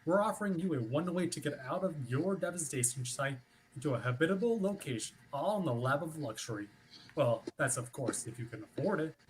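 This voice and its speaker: A man's voice: a little high, expressive, a little fast, lightly acted. A man playing a slick radio commercial announcer in a staged play. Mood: smooth sales pitch. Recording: noisy livestream audio.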